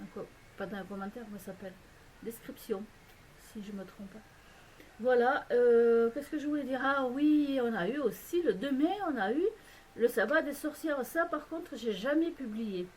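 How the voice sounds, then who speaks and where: A woman's voice: fairly high pitch, normal speed, darkish tone, a little hiss or hum, poor audio. A woman from France with a French accent, chatting casually to camera in a rambling, hesitant way with many fillers and restarts. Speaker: vlogger. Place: home in a Belgian village.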